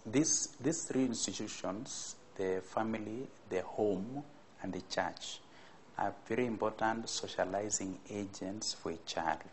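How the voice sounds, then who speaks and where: A middle-aged man answering interview questions in an office, deliberate and pausing with fillers. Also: fairly low pitch, slight background noise, rough recording. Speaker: expert interviewee. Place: office indoors.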